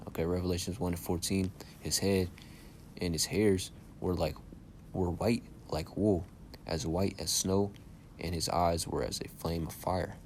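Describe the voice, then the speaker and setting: Low, soft-voiced young preacher. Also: male voice, steady tone, unhurried, slight background noise. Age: young adult. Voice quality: soft-spoken by his own account. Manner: checks in with "okay?" and "all right?" after nearly every phrase; casual and insistent, often says "man". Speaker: bible teacher. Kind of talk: informal scripture preaching.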